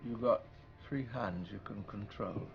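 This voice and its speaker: An actor playing a calm, patient mentor who explains deliberately in a gravelly voice, in a film drama. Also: male voice, fairly low pitch. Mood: patient, instructive. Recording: dull film audio with faint music.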